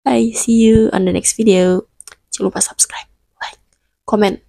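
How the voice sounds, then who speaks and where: A woman's voice: mid-range voice, steady tone, a little slow, vocal fry, dark tone, dry recording. A young woman with a stuffy nose from a cold, giving chatty advice in a casual vlog, slipping in English phrases and playfully scolding her viewers. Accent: Indonesian. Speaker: vlogger.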